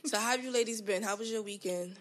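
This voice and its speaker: A woman's voice: medium pitch, fast. A young woman co-hosting a casual podcast chat, joking and laughing often. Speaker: podcast co-host.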